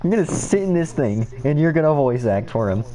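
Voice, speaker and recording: A man's voice: a little high, sing-song, fairly quick, mellow, rough recording. A man hosting a stream in casual voice chat, bossy and teasing with his friends.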